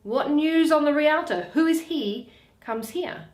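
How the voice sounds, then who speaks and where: A woman's voice: high-pitched, lively intonation, unhurried, voice pushed up. A woman voicing a shrewd, bitter male moneylender in a Shakespeare play, drawing out and weighing each phrase. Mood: scornful. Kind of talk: dramatic Shakespeare reading. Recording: roomy echo.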